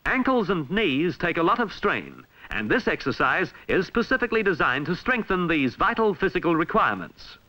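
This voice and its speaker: A man with an Australian accent reading a scripted 1960s instructional film narration in a formal, measured, emphatic way, on a vintage film soundtrack. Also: a little high, big pitch swings, sharp tone, poor audio.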